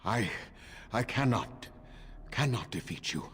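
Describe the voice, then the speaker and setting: An actor playing a bitter, weary ancient elf in a fantasy game, speaking gravely and haltingly. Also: male voice, fairly low pitch, expressive, a bit bright, full character voice. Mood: defeated, anguished. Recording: long reverb, faint game ambience noise.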